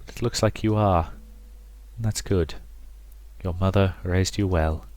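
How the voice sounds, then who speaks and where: A man playing a gentle, weary dying father in a visual novel, speaking in a halting, hushed way. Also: low voice, no echo. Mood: tender, weary. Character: dying gentle father, tender, weary, giving last advice.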